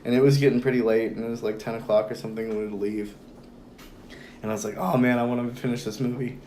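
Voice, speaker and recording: Hoarse young guy, rambling guest on a casual chat show, some light hiss in the background. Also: medium pitch, fast. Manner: relaxed and rambling, many fillers. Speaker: podcast guest. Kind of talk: casual conversation.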